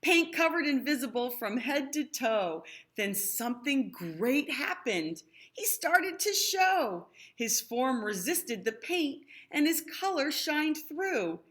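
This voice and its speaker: Female storybook reader, fairly high voice, slow pace, expressive, explaining things to young listeners. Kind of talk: children's read-aloud with explanatory asides.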